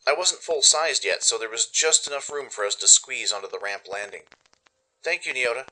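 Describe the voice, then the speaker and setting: A man reading scripted first-person story narration in an expressive, dramatic way, as the narrator of a radio play. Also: on the low side, fairly quick, low-quality recording.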